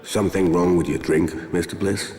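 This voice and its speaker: A man's voice: low-pitched, fairly even intonation, normal speed, darkish tone, close to an everyday voice. An actor playing a coolly mocking male villain in a video game, taunting with false politeness. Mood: mocking menace.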